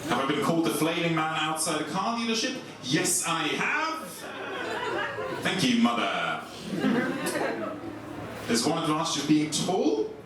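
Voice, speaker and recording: A young Swiss man with a German accent, doing a stand-up set in a comedy club with a dry, deadpan delivery. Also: a little slow, pauses often, far from the mic, a crowd in the background. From Switzerland. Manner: dry, deadpan delivery with lively swings in pitch. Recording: echoey club recorded from afar, audience noise.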